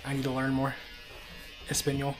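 Man in his 30s, winded, slow unhurried talk, barbershop vlog with music under it. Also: mid-range voice, poor audio. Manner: slow, relaxed, mixing in broken Spanish. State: out of breath. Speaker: travel vlogger getting a haircut. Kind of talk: casual talk to camera. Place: barbershop, seated in chair.

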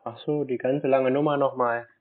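A man baiting a phone scammer, unhurried and playing dumb, answering in short replies. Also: medium pitch, steady tone. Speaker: scam baiter. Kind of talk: phone call. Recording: tinny phone line.

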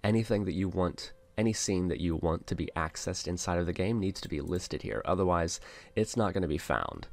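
A man narrating a casual software tutorial, explaining things step by step as an instructor. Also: deep voice, very animated, brisk, vocal fry.

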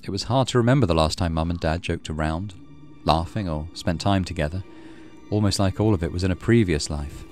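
A man's voice: low voice, fairly quick, a little mellow, faint music behind. A man hosting a let's play, reading a horror visual novel aloud with dramatic storytelling and muttered asides. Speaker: gaming channel host.

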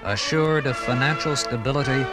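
A man with an American accent narrates a 1950s radio documentary. His delivery is formal and measured, with dramatic phrasing at a medium pitch. Music sits behind him on an old vinyl broadcast recording.

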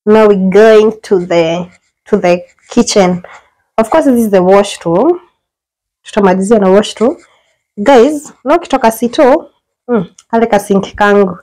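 A young woman with a Kenyan accent talks her viewers through a small apartment, filming on her phone as she walks. Her delivery is chatty and full of quick exclamations, with the sound of an ordinary indoor room around her. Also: medium pitch, low-quality recording. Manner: chatty, mixes English and Swahili slang, lively exclamations. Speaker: vlogger giving a house tour. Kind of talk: casual house tour chat. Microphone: phone camera held while walking.

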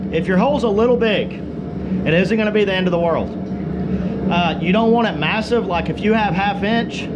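A man giving a casual, folksy hands-on demonstration, talking informally to viewers as he works. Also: sits high. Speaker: blacksmith presenting a how-to video. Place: open-sided outdoor forge workshop. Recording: noisy workshop camera audio.

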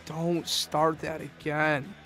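An actor playing a carefree, wasted young party druggie in a radio drama, with a slurred drawl. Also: male voice, fairly high pitch, flat delivery, slow, pushed and bright, faint music behind. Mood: wasted, flippant.

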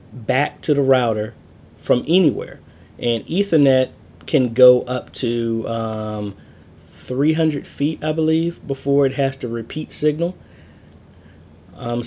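A man's voice: mid-range voice, mellow. A man in his thirties giving a casual screen-share tutorial on a church network, explaining step by step with fillers and small corrections, recorded on a webcam indoors.

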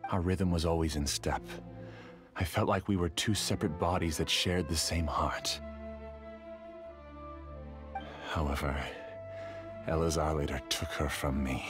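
An actor playing a formal, self-assured, obsessive scholar who rules a dream world in an anime game. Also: male voice, very deep voice, fairly even intonation, normal speed, over music. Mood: wistful. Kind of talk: anime video game voice acting.

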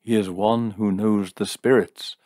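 A man's voice: on the low side, steady tone, a little slow, a little mellow, dry recording, clean studio sound, voice pushed up, lightly acted. A man voicing a solemn, mystical male seer in a story reading, making portentous pronouncements.

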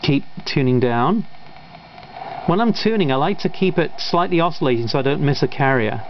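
A man's voice: on the high side, animated, moderate pace. A man giving a casual, off-the-cuff hobbyist demonstration of a radio. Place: indoors at a radio bench. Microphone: camera microphone. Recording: dull camera audio, loud radio static. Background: shortwave radio noise and signals.

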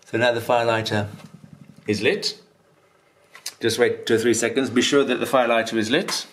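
A man's voice: mid-range voice, little pitch movement, moderate pace. Middle-aged guy walking you through stuff, lots of ums, kitchen, bit of faint noise. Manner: patient, step-by-step, frequent ums. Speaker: holiday rental host. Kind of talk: instructional tutorial. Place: stone-walled home kitchen, indoors.

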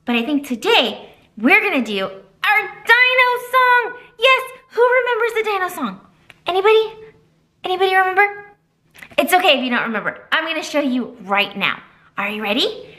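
A woman's voice: high-pitched, normal speed. A woman in her thirties, a children's librarian, leading a storytime with songs and a picture book, gasping and gesturing with big expression, recorded on a webcam in a small library room. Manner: animated, exaggerated gasps and expressive gestures. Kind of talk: children's storytime reading and singing.

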